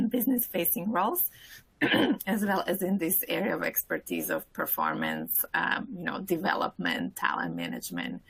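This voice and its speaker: A woman in her forties with a Slovak accent speaks as a panelist, halting often with fillers. Her voice sits a little low, husky and gravelly. The sound is dry and close. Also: a bit bright, no room sound, low-quality recording. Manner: hesitant, many fillers. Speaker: panelist, corporate talent director. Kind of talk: panel introduction.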